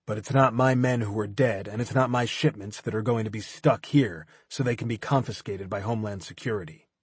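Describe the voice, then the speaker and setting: A man voicing a calm, threatening male CIA agent who speaks in a controlled, clipped way. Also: on the low side, a little fast, husky, no echo. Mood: cold.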